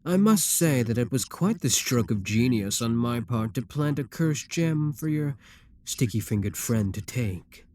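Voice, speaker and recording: A man's voice: medium pitch, a little mellow, lightly acted. A man voicing a possessive, scheming male demon king in an audio roleplay, teasing and condescending.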